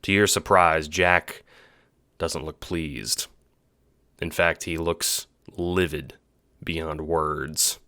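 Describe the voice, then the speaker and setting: A man's voice: extremely low pitch, fairly even intonation, very slow, long pauses, sharp tone. A man reading scripted, dramatic second-person narration as the narrator of an audio drama.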